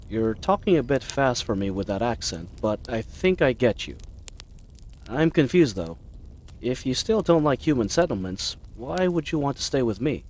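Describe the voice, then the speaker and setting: A man playing a bumbling, kind-hearted adventuring knight in a roleplay audio drama, rambling to the listener in a hesitant, awkwardly earnest way. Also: medium pitch. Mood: confused, defensive.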